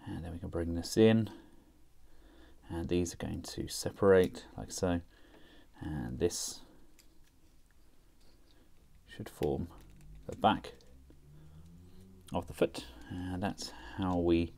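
A man talking casually through a toy review, chatty and relaxed with frequent fillers as he works the figure with his hands. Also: deep voice, gravelly. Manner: relaxed, chatty, thinking aloud with many fillers.

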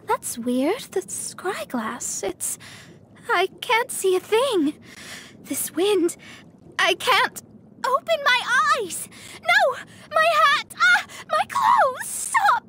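A young game astrologer, haughty and easily flustered. Her voice is very high, bright and sharp, paced slowly. There is faint noise behind it. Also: full character voice. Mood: panicked. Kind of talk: video game voice lines.

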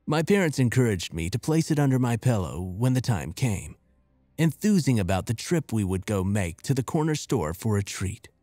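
A man reading a scripted horror story in a measured, deliberate and expressive way. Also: on the low side, dry recording, clean studio sound. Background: music.

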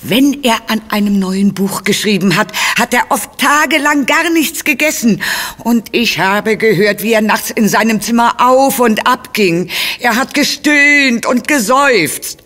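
An actress playing a kindly, doting, gossipy old cook in a radio play. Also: female voice, fairly high pitch, animated, unhurried, fairly bright tone. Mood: sorrowful, defensive. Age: older. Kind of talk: German radio play acting.

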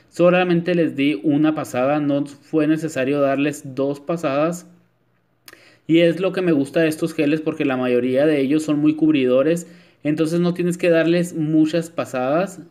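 Chatty man with a raised pitch. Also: dark tone. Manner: chatty, many filler "este", addresses viewers as "chicas". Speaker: nail technician. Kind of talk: voice-over nail tutorial.

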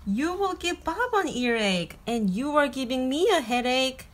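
A woman's voice: high voice, animated, a little slow. A woman playing a weary, patient mother of a small child in storybook dialogue. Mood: firm.

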